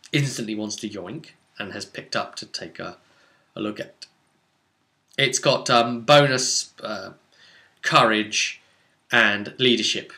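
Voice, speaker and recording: Man, crisp bright tone, average pitch, game master, casual tabletop recap, explanatory and chatty, dramatic asides. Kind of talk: casual recap of a tabletop role-playing session.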